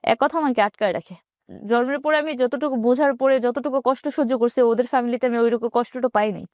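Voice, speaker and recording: Fast-talking Bangladeshi woman, dry close sound. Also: on the high side, a little mellow, no echo. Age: 20s. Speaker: interview guest telling own life story. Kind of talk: personal storytelling in an interview.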